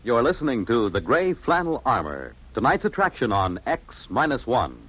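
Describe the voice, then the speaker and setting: A man's voice: medium pitch, big pitch swings, moderate pace, fairly bright tone, soft background music, poor audio. A man announcing in an earnest, formal 1950s radio style, with emphatic scripted delivery. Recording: vintage 1950s radio broadcast.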